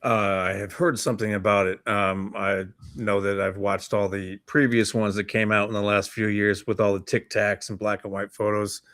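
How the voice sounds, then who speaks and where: Male co-host, lowish pitch, casual chat-show delivery, agreeable and a little halting. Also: flat delivery, fairly bright tone.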